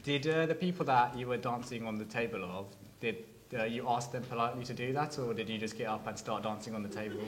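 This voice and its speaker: A man on a game panel who talks in a monotone. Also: mid-range voice, fast talker, few pauses, slight background noise. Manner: monotone delivery. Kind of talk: casual chat.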